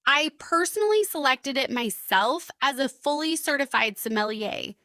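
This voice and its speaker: Woman as no-nonsense big sister in an animated story: high forceful voice, proud, firm, formal. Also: normal speed, no echo. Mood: firm, indignant. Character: blunt, proud older sister running a diner. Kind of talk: animated story character acting.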